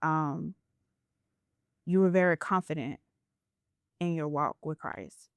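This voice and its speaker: A woman's voice: deep voice, slow pace, no room sound. A woman podcast host talking in an earnest, reflective way as she shares a spiritual story in a casual interview.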